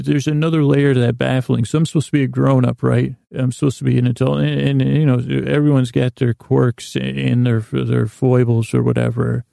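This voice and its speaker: Gravelly, creaky American man, rambling softly. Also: medium pitch, dry recording, studio quality. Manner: meandering, halting, repeats and trails off. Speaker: podcast host. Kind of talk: rambling bedtime monologue.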